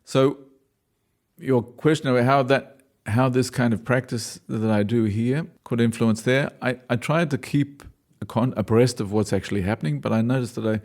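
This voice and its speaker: A man's voice: mid-range voice, moderate pace, a little mellow. A man from Australia, a dancer and choreographer, answering interview questions in a thoughtful, hesitant way with frequent restarts. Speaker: dancer and choreographer, class leader.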